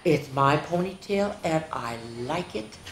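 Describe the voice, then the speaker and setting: A woman's voice: sits low, expressive, a little slow, a little hiss or hum. A woman voicing a stubborn, defiant schoolgirl in a storybook, with blunt, emphatic refusals. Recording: roomy, echoing indoor sound.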